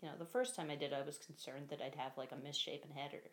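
A feminine-voiced guest talking candidly in a casual interview, chatty and quick to laugh. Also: female voice, sits low, fairly even intonation. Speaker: guest, costumed performer.

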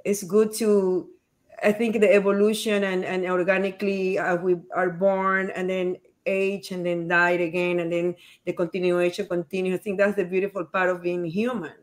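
A woman's voice: fairly low pitch, level tone, moderate pace. Female co-host chatting casually. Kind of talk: casual chat.

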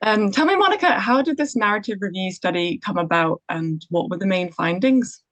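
Host: young woman, clear scripted delivery, dry close sound. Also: medium pitch, animated, moderate pace, a bit bright, no room sound. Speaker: podcast host, junior doctor. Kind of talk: podcast interview hosting.

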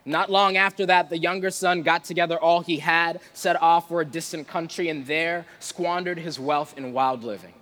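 A man's voice: a little high, steady tone, normal speed, hardly any pauses, bright, forceful tone. A man preaching a sermon in a conversational, informal and playful manner, sometimes reading scripture. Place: large reverberant room before a live audience. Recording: long hall reverb.

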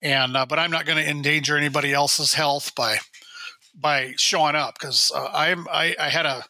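Hoarse, bright-voiced man in his sixties. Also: a little high, steady tone, normal speed, no room sound. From Minnesota. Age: 60s. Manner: rambling, self-correcting storyteller. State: recovering from covid, hoarse. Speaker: show regular, state high school sports guide. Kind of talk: casual radio chat.

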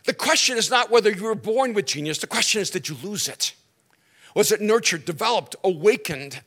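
A middle-aged man from El Salvador, a native Spanish speaker, preaching a sermon as an animated storyteller who stretches key words and drops dry jokes. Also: high-pitched. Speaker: pastor.

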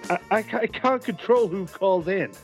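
A man's voice: high voice, a little slow, over music. A man playing a flustered, exasperated wrestling call-in show host in a comedic audio play, politely weary and stammering.

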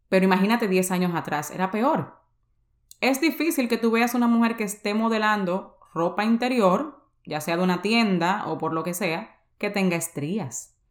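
Candid Dominican woman, low voice. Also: reverberant. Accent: Dominican Spanish. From Dominican Republic. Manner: candid, confessional, mixes English words into Spanish. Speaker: podcast host. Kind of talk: personal podcast monologue.

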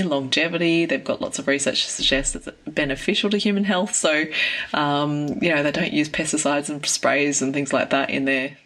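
A woman with a low-pitched voice talks as a podcast co-host. She speaks in a casual, conversational way, repeating words to press her point. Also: a bit bright.

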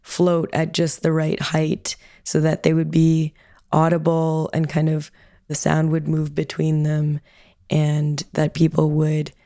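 Low, flat-voiced woman, thoughtful. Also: slow pace, dry recording, clean studio sound. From Canada. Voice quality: low limited-range voice. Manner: thoughtful, with frequent ums and hedging "kind of". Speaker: artist and audio producer. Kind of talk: reflective interview answer.

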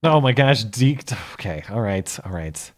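A man hosting a live call-in show, chatting with callers in a relaxed, casual way. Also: lowish voice. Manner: relaxed, chatty, quick asides. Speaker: host.

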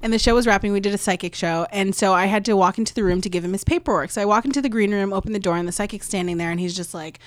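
A woman's voice: medium pitch, very fast, hardly any pauses, dry recording. A young American woman telling a long personal story in casual, chatty talk, full of "like". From United States. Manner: animated, chatty, lots of "like". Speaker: guest.

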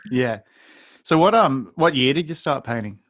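A man's voice: medium pitch, expressive, normal speed, fairly bright tone, no echo. Casual male podcast host, dry close sound. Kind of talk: casual interview chat.

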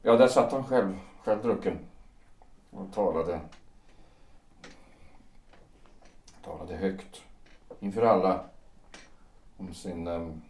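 A man playing a wounded, jealous fifty-year-old former lover in a period audio drama, brooding and halting, often repeating his words. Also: low voice, a little mellow, recorded from a distance. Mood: hesitant, disapproving. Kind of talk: period stage-play audio drama acting. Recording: roomy echo, away from mic.

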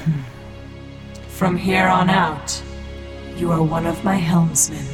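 A woman voicing a calm, confident tech-priestess who leads a cult. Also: fairly low pitch, slow, reverberant, music in the background. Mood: imperious. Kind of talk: fan audio drama acting.

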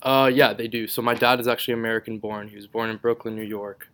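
A man's voice: fairly low pitch, steady tone, quick pace, fairly bright tone, clear, resonant tone. A young man from Israel whose first language is Hebrew, a podcast guest chatting casually and rambling with lots of fillers and restarts. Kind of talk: casual interview chat.

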